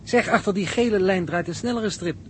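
An actor playing a curious, cautious newcomer to a crew in a 1960s radio drama, speaking in an inquisitive way. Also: male voice, high-pitched, fairly quick, slight background noise, lightly acted. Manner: inquisitive, animated.

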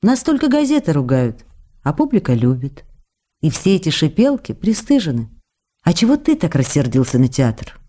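A woman's voice: low voice, moderate pace. A woman acting in a radio drama as an affectionate, teasing actress reading her intimate letters to a faraway lover. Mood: soothing, indignant. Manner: intimate, coquettish, expressive.

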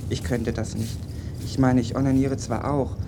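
A naive teenage boy from the provinces, played by a man, in a radio drama. He rambles earnestly, apologises a lot and chats on at a middling pitch. The recording has clear noise and rumble behind him. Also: little pitch movement, moderate pace, close to an everyday voice. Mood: earnest, chatty. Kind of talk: German radio play dialogue.

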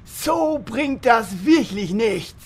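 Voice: medium pitch, lively intonation, extremely slow, husky, full character voice. A performer playing a blunt, hot-tempered uncle in a gaming guild, in a comedy audio drama. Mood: exasperated.

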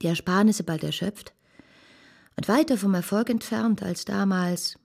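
An actress playing a reflective, idealistic woman of 19th-century Paris, narrating her memories in a radio drama with a husky voice. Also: mid-range voice, unhurried, a little mellow. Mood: wistful, reflective.

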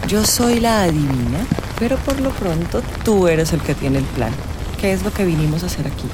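Actress as wry low-voiced fortune-teller woman. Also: animated, normal speed, background noise, lightly acted. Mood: knowing, teasing. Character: wry, teasing female fortune-teller companion. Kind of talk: radio drama dialogue.

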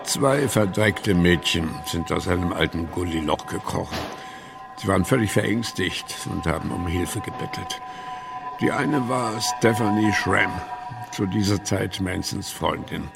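Police investigator, lowish and heavily creaky, measured docudrama testimony. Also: male voice, music in the background. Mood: sober, matter-of-fact. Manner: matter-of-fact, measured recounting. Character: sober male police detective giving his account. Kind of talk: German docudrama radio play testimony.

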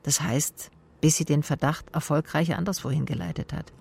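Casting: hard-boiled female private eye, radio drama, gravelly low voice, dry and sardonic. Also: normal speed, a little mellow, heavily acted. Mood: brooding, sardonic. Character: wry, tough female private detective narrating her case. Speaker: narrator and lead actor. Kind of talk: radio drama narration and dialogue.

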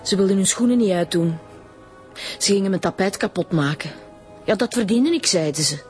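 A woman's voice: medium pitch, lively intonation, a little fast, darkish tone, faint music behind, natural voice. Radio serial suspect for an actress: dignified, haughty female voice teacher, medium register, theatrical delivery. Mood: resigned. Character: proud, haughty female singing teacher under questioning. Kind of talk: radio drama dialogue.

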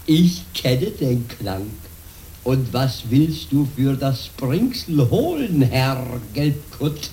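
Sly old peasant, radio drama, very slow grumbling delivery, roomy and slightly noisy recording. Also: male voice, medium pitch, sing-song, warm tone. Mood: eager, sly. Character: gruff, sly old peasant father, greedy for gold. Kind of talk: German radio play acting.

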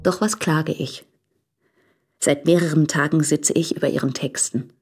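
A woman playing an earnest, troubled married letter writer in a radio play, reading in a formal, measured manner. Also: sits low, darkish tone, slightly rough. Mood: troubled, confiding.

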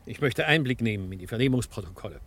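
A man's voice: mid-range voice, expressive, fast talker, a bit bright. A man playing a confident, businesslike big-city defence attorney in a radio play, speaking in a matter-of-fact, assured way. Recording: faint noise on old radio recording.

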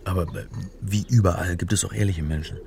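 A man's voice: deep voice, fairly quick, creaky, a little mellow, slight background noise. An actor playing a wry, exasperated male academic turned amateur sleuth in a German radio crime drama, speaking conversationally with some hesitation. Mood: puzzled, curious. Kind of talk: German radio play, narration and dialogue.

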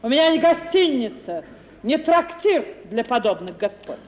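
A woman's voice: on the high side, sing-song, normal speed. An actress playing a vain, imperious middle-aged landowner lady, haughty and impatient, in a Russian radio play. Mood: gleeful, haughty. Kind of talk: 1950s Russian radio theatre acting. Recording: reverberant 1950s radio play recording.

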